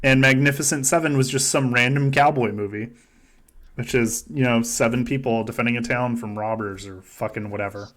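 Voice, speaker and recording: A man's voice: mid-range voice, fairly even intonation, brisk, fairly bright tone. Joking, sweary man hosting a podcast. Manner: joking, sweary, laughs often. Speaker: podcast host. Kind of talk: casual chat running a simulated reality-show game.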